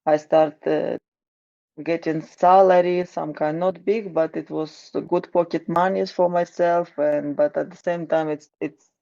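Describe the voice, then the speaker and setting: A woman in her thirties with a Ukrainian accent, talking in an interview. Her voice is gravelly and she speaks slowly in a flat, even tone. She stops and restarts often, and the recording sounds dull. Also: on the low side. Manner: halting, with restarts and fillers. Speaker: guest, wrestling coach. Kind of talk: casual interview answers.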